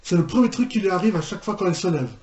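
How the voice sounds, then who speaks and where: Man, slightly high voice, casual reaction commentary, repetitive hesitant phrasing, echoey room. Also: racing, darkish tone, highs cut off. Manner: hesitant, repetitive, incredulous exclamations. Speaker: reaction video host. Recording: echoey room, faint noise.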